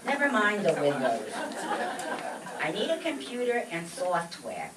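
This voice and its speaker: Woman playing an exasperated comic stooge, swooping pitch. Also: wildly swooping pitch, unhurried, a faint crowd. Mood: puzzled. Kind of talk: vaudeville-style radio comedy acting. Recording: echoey distant mic, noisy live stage.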